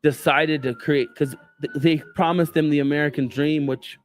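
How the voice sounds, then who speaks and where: A man's voice: a little high, fairly even intonation, moderate pace. A man hosting a podcast in a casual interview chat, hesitant and full of fillers, swearing casually. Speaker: podcast host.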